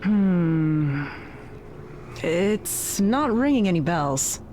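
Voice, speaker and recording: A woman's voice: on the low side, expressive, extremely slow, warm tone, a little hiss or hum. A woman voicing a friendly, forgetful villager in chatty, effusive video game dialogue. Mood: puzzled.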